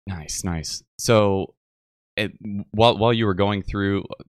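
Young male podcast host, very low voice, dry close sound, jokey, halting delivery. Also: smooth, no room sound. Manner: joking, halting, many restarts and "uh". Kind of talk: casual podcast interview.

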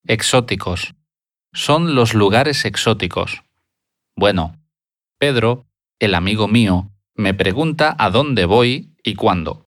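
Brief: man, lesson speaker, slow and low, dry close sound, repeats every answer in full sentences. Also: expressive, no room sound. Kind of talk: slow, deliberate lesson dialogue.

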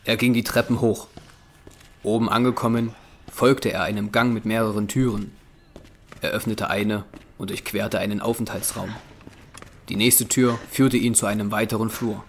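Low-pitched male audio drama narrator. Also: level tone, normal speed, long pauses, a little hiss or hum.

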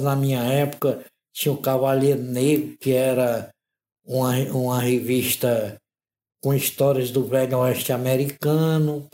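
A man, an interview guest telling his life story in a measured, thoughtful way. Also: medium pitch, warm tone. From Ceará, Northeast Brazil. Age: 60s. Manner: measured, thoughtful, with frequent "né?" tags. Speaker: interview guest, artist and modeler. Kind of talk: unhurried reflective storytelling. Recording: roomy echo.

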